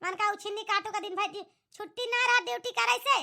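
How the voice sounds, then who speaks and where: A woman's voice: very high-pitched, fairly even intonation, fairly quick, gravelly, pushed and bright, exaggerated voice. A woman voicing a weary older village mother-in-law in a cartoon, exasperated and pleading, with an Awadhi accent. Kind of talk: rustic cartoon comedy dialogue.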